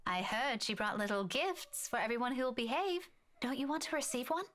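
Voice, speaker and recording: A woman playing a caring, frank young female teacher in a visual novel game, gentle and coaxing in manner. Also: on the high side, animated, moderate pace, slight background noise. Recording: music clearly behind the voice.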